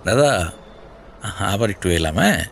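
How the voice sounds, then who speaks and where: An actor playing a shrewd, persistent senior detective in an audio drama, questioning in a deliberate, probing way. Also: male voice, sits low, lively intonation, creaky, very noisy, heavily acted. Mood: pressing. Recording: music bed behind voice.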